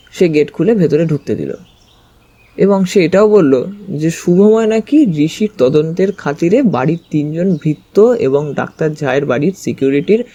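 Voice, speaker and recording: A man reading a first-person scripted story as its narrator, with expressive storytelling delivery. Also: on the high side. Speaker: narrator and detective's companion.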